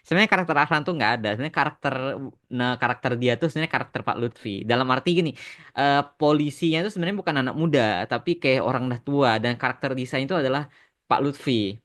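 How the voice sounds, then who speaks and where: Guy chatting casually on a podcast, drops english bits here and there, mid pitched. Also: fast talker, fairly bright tone. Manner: mixes English phrases into his talk. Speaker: podcast host and animation creator answering fan questions.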